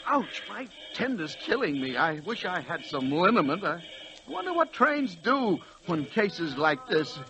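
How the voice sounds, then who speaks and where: A man playing a timid, excitable, polite fellow who is flustered and stammers, in 1940s radio acting style. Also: high voice, unhurried, over music, exaggerated voice. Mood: flustered, apologetic. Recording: dull, noisy vintage radio recording.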